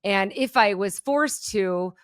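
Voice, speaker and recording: Smooth-voiced energetic woman from Germany. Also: medium pitch, slow pace. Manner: energetic, candid storytelling. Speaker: guest, keynote speaker and entrepreneur. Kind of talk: podcast interview.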